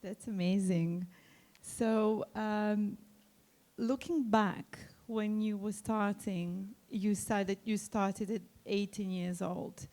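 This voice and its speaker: A woman's voice: mid-range voice, fairly even intonation, takes it slowly, warm tone. A woman interviewing a guest on a conference stage, asking chatty, enthusiastic questions.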